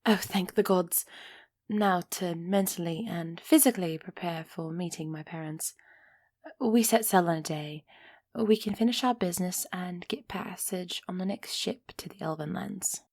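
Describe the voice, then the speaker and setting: A woman's voice: on the low side, normal speed, darkish tone, no echo, clean studio sound. A woman playing a young runaway elf heiress in an intimate roleplay audio drama, defiant yet anxious and affectionate, often sighing and sinking into whispers. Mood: anxious, tender. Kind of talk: roleplay ASMR audio drama, close and breathy.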